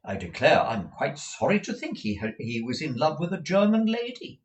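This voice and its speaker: A man's voice: on the high side, sing-song, moderate pace, pitched above the performer's own voice. A man voicing a fussy, gushing middle-aged mother from a storybook, emphatic, exclamatory and rambling. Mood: indignant.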